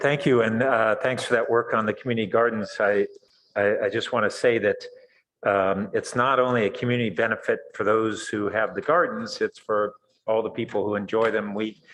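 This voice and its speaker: A man on a city council asking an applicant a question in a measured, friendly way over a video call. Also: fairly low pitch, a bit bright, rough recording. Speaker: council member. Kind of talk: formal question at a public meeting.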